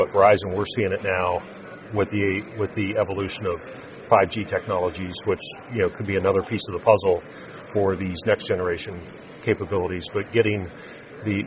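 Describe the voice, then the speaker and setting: Guy being interviewed, lowish voice, measured business talk, pretty noisy background. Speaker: interview guest, telecom sales executive. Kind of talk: unscripted interview answers. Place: busy naval trade show event.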